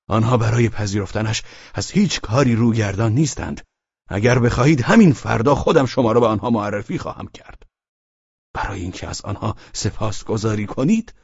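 A man voicing a brisk, commanding gentleman who speaks insistently and persuasively. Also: mid-range voice, creaky, breathy edge, dry recording, muffled recording, voice pushed up, heavily acted.

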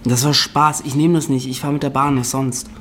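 An actor playing a halting, defensive but stubborn young man in a naturalistic radio drama. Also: mid-range voice, level tone, a bit bright, slight background noise, close to an everyday voice. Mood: stubborn, incredulous. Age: young adult. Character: hesitant, stubborn young man about to leave town.

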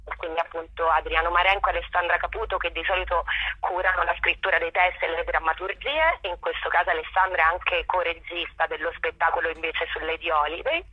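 A woman's voice: lowish voice, fairly even intonation, brisk, pushed and bright, breathy edge, no room sound. A woman, an actress, speaking as a guest in a conversational interview over a telephone line.

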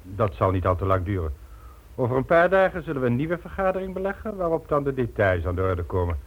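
Crime boss, radio drama, self-assured, measured, widely swinging intonation, slightly husky. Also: male voice, medium pitch, big pitch swings. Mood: calculating, assured. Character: cool, calculating male crime boss, methodical and self-assured. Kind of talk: Dutch radio drama acting. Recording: dull old radio recording.

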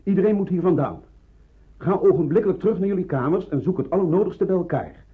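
An actor playing a wartime pastor who gives urgent, authoritative orders in a radio play. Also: male voice, high-pitched, expressive, fast talker. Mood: urgent, commanding. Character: commanding wartime village pastor hiding fugitives. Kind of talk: radio drama dialogue. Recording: narrow tinny old radio recording.

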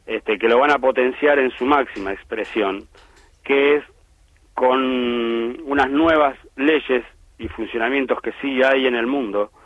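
A man's voice: medium pitch, measured pace, lo-fi sound. A man explaining aviation policy on the radio, emphatic and full of "eh" and "este" fillers. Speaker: aviation industry commentator. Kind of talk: radio phone-in analysis.

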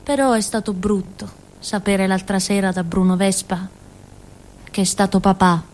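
A woman's voice: mid-range voice, fairly even intonation, moderate pace, a little mellow, lightly acted. Actress as a naive Calabrian village fool girl. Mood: candid. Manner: childlike, colloquial storytelling with frequent giggles and asides. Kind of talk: theatrical monologue for radio.

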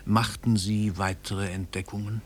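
An actor in a German radio drama playing a world-famous writer, self-assured, amused and indulgent, with ironic, jovial condescension. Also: male voice, fairly low pitch, level tone, unhurried, slight background noise, close to an everyday voice. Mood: probing, curious.

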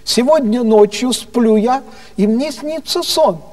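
A man's voice: very high voice, takes it slowly, darkish tone, distant mic, pitched above the performer's own voice. A man voicing a grand, witty old theatre actor in a storytelling performance, mock-solemn and drawing out his punchlines. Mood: playful, theatrical.

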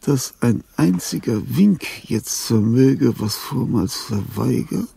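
An actor playing a lonely, dying old composer in a radio drama, tender and confused, with a husky, halting delivery that swings between whispers and cries. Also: male voice, medium pitch, lively intonation, warm tone, exaggerated voice. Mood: solemn, murmuring. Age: older. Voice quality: gravelly, husky, breathy. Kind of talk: German radio drama acting.

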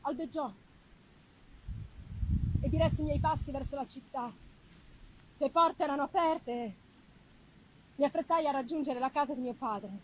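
Woman playing tormented young scientist guy, gothic stage reading, super high voice, halting n emphatic, bit of live background noise. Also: close to an everyday voice, poor audio. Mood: grave, haunted. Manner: halting, emphatic, repeating words for dramatic effect. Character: anguished young male scientist haunted by his murderous creation. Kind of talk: dramatic live literary reading, gothic monologue.

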